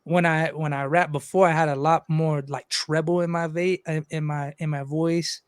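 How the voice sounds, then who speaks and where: A man's voice: fairly high pitch, flat delivery, moderate pace, clear, resonant tone, no echo, clean studio sound. A young man from Los Angeles, a rapper talking loosely in a casual interview, rambling and swearing freely. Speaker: guest rapper.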